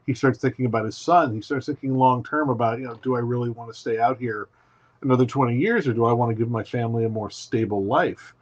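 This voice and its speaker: A man's voice: mid-range voice, fairly even intonation, fast talker. A man who is a guest on a podcast, talking about his writing and retelling stories in detail, often saying "you know". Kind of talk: casual interview talk. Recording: dull lo-fi audio.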